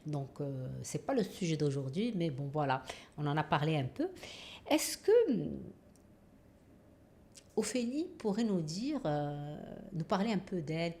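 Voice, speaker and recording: A woman hosts a podcast interview, speaking slowly and carefully with many pauses and fillers. Her voice is very low for a woman. A faint noise sits behind her. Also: sing-song, darkish tone. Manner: measured, hesitant with frequent fillers. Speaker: podcast host. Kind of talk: interview hosting.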